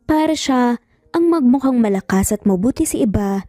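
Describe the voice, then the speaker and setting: Woman, Filipino accent, scripted dramatic storytelling, slightly high pitch, mellow tone, light background music. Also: expressive, normal speed, dry recording, studio quality. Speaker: story narrator.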